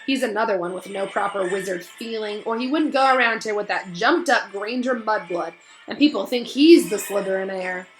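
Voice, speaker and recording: A woman voicing an arrogant, petulant schoolboy bully in a storybook, with a slow disdainful drawl that turns snappish. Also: mid-range voice, lightly acted, rough recording. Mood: scornful.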